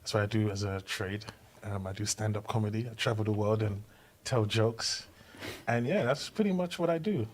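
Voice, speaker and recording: A young man with a London accent, a comedian guest telling stories in a relaxed podcast chat, animated and laughing through his punchlines. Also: fairly low pitch, normal speed. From Hackney, East London. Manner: animated storyteller who laughs through punchlines and acts out quoted voices. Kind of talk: casual chat, anecdotal storytelling.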